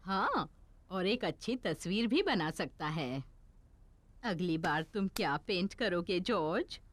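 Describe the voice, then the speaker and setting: Expressive, encouraging cartoon teacher. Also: female voice, on the low side, takes it slowly, a little hiss or hum. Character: kind, encouraging playgroup teacher, adult lady animal. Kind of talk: cartoon dubbing.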